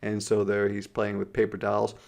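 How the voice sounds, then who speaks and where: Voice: deep voice, completely flat delivery, moderate pace, gravelly, darkish tone. A teacher reading a children's story aloud, explaining simply and putting questions to the listener.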